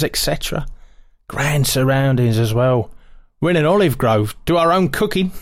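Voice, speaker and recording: A man's voice: fairly high pitch, no room sound, studio quality, lightly acted. An actor playing a cheerful British sergeant major reading his wartime diary in short, chatty phrases, savouring small pleasures.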